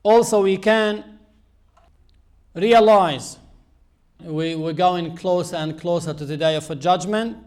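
A man with a high voice delivers an earnest religious sermon. He speaks slowly and deliberately, pausing often between phrases as he teaches. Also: darkish tone. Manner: measured, earnest teaching with frequent pauses. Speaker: Islamic preacher.

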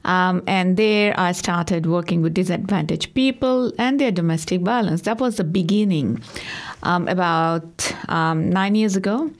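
Woman from Bangladesh, English, low gravelly voice; earnest, halting interview answers. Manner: earnest, halting with many ums. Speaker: community worker and guest.

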